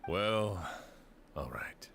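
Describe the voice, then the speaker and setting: A man's voice: sits low, animated, very slow, vocal fry, fairly bright tone, a little hiss or hum, heavily acted. An actor playing a fair-minded lawman in a video game, firm and authoritative. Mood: grave.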